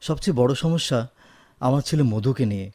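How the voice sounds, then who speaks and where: Man as mellow, dry-recorded, dutiful officer. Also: medium pitch, expressive, brisk, dry recording, close to an everyday voice. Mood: firm, polite. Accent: Bangladeshi. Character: dutiful, firm, educated officer, devoted son and father. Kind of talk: Bengali radio drama acting.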